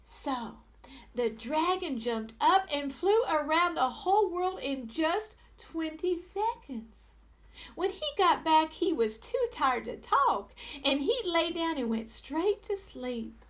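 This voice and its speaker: High-voiced, slow-paced woman storyteller. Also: lively intonation. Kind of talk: expressive children's read-aloud narration.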